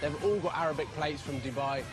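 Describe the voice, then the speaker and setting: A man's voice: a little high, a little fast. A man talking in a plain, matter-of-fact way in a casual interview. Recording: speech over loud music.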